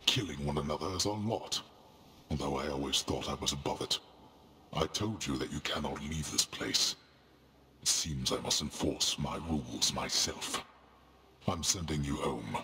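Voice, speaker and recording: Stern older underworld god, extremely deep, forceful edge, formal, haughty, scornful, video game drama. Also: male voice, normal speed, full character voice. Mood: cold, grave. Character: stern, imperious god of the underworld, a disapproving father.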